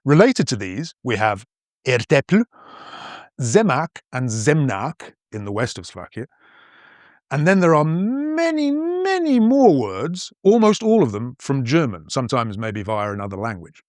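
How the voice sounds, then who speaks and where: A man's voice: medium pitch, measured pace, dry recording, clean studio sound. A man in his fifties from northern England with a mostly posh English accent that keeps northern traces, narrating an educational podcast in a playful, explanatory way. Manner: playful, explanatory, lively storytelling. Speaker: podcast host and language teacher.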